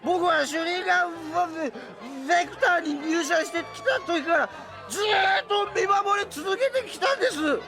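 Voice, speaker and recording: High-voiced, whiny lovesick young underling. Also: male voice, normal speed, over music, a crowd in the background. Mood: tearful, indignant. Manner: whining, flustered, melodramatic. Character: whiny, lovesick, timid young male office subordinate, tipsy. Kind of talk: Japanese audio drama acting.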